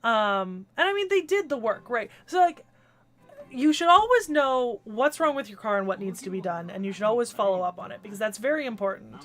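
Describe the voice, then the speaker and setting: A woman's voice: fairly high pitch, big pitch swings, brisk, fairly bright tone. A woman streaming a video game, chatting casually and rambling with lots of restarts and the odd swear, stuffy and congested from a cold. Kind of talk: casual livestream chat while gaming.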